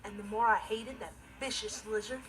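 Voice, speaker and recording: A man's voice: sits high, fairly even intonation, moderate pace, close to an everyday voice, low-quality recording. A young male actor playing an earnest, responsible, easily exasperated teenage stepbrother, telling stories with emphatic comic timing in a TV sitcom. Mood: mournful deadpan. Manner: emphatic, theatrical comic delivery. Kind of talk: scripted comic monologue in a TV sitcom. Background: music and laughter.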